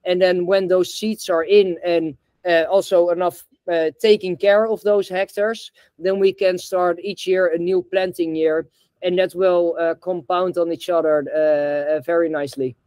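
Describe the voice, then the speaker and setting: A man's voice: sits high, fairly even intonation, normal speed, a little mellow, smooth, no echo. A young man from the Netherlands on a video call, talking hesitantly with lots of fillers and restarts as an interview guest. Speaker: guest, bamboo reforestation founder. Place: indoor room.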